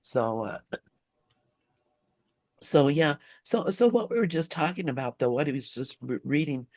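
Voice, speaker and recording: A man speaking reflectively and casually in a group discussion, halting and repeating himself as he gropes for words. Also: a little high, lively intonation, darkish tone, no room sound.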